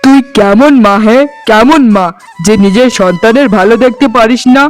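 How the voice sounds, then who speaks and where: A man voicing a stern but soft-hearted village family man in a cartoon, with an emphatic, scolding manner. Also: very high-pitched, moderate pace. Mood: angry, scolding. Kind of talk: Bengali cartoon voice acting. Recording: music behind the voice.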